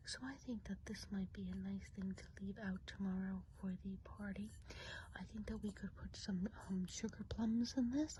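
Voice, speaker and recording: A woman whispering softly and breathily in an unhurried, reassuring ASMR role play as a kindly woodland witch. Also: mid-range voice. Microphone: close microphone. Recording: close whisper with audible background hiss.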